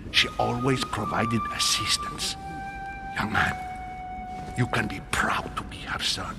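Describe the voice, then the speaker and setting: A grave, secretive senior churchman in a cinematic adventure game. His speech is ceremonious and fatherly, with careful pauses and a lively melodic range. Music plays clearly behind him. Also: medium pitch. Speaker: actor in a narrative adventure game. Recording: game dialogue over background music.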